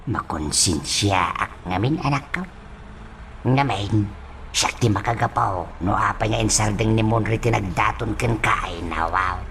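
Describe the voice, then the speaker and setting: An actor with an Ilocano accent playing a fretful, fumbling older village father in a radio drama, halting and stammering. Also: fairly low pitch, gravelly, a bit bright, slight background noise. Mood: apologetic, pleading. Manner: halting, stammering, full of exclamations and a repeated catchphrase. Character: fretful, fumbling old village father with a repeated verbal tic.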